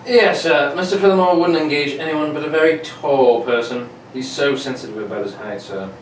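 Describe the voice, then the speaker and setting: A man's voice: on the high side, moderate pace, lightly acted. A man playing a formal, polite, unflappable manservant in an amateur 1940s-style radio play. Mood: polite, confiding. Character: very tall, deferential, unflappable manservant. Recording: echoey room, distant mic, faint noise.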